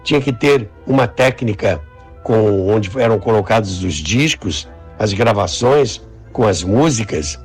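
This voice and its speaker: A man's voice: on the low side, animated, measured pace, breathy edge, soft background music. An older man with a Brazilian accent, explaining and reminiscing in an interview.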